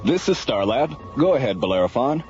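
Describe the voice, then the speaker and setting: A man's voice: mid-range voice, very animated, a little fast, natural voice. A man playing an easygoing space station flight controller in a radio drama, informal and a little hesitant. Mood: businesslike. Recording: dull radio recording with music behind.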